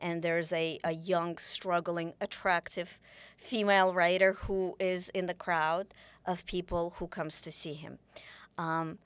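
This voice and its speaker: A middle-aged woman from Ukraine, speaking as an author guest in an interview, animated and full of restarts. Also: fairly low pitch, measured pace, clear, resonant tone, dry recording. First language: Russian. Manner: animated, gesturing, many restarts. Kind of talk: interview conversation. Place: small podcast studio. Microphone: boom mic on desk.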